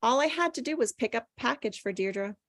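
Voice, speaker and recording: A woman's voice: on the high side, lively intonation, fairly quick, fairly bright tone, no room sound, studio quality. A woman playing a plucky, quirky and defensive young woman at a script table read. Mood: matter-of-fact, defensive.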